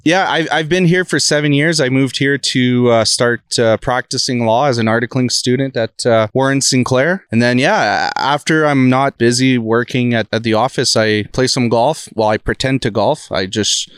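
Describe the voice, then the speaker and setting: A man's voice: medium pitch, moderate pace, hardly any pauses, smooth, dry recording, studio quality. A man from New Brunswick whose first language is French, a lawyer chatting casually as a podcast guest outdoors under a tent. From Canada (New Brunswick). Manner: relaxed, self-deprecating joking. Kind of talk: casual interview.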